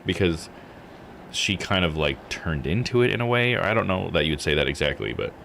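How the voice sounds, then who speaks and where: A man's voice: low-pitched, sing-song, a little fast, creaky, fairly bright tone, slight background noise. A man giving live video game commentary, musing and thinking aloud as he plays. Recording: music under the voice. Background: game music.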